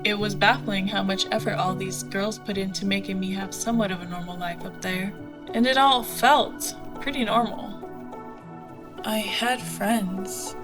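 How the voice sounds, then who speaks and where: An actress playing a candid, wry young woman pilot with a rough past, speaking colloquially in an audio drama. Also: medium pitch, fairly even intonation, measured pace, music in the background, natural voice. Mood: wry, nostalgic. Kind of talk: conversational audio drama acting.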